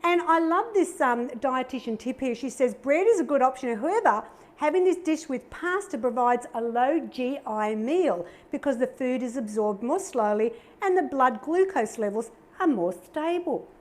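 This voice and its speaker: A woman's voice: sits high, expressive, normal speed. A middle-aged Australian woman hosting a cooking show, chatting in a bubbly, upbeat way while she demonstrates a recipe.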